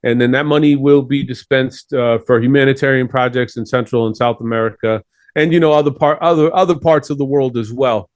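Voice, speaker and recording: A man's voice: medium pitch, steady tone, brisk, no echo. Earnest rambling man, dry sound. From Chile. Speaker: guest. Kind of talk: casual interview talk.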